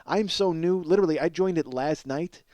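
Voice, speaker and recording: A man's voice: fairly high pitch, normal speed, mellow, no room sound. Rambling, profane comic podcast host, male. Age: middle-aged. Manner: profane, self-deprecating, exclamatory. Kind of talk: rambling casual comic monologue with plugs.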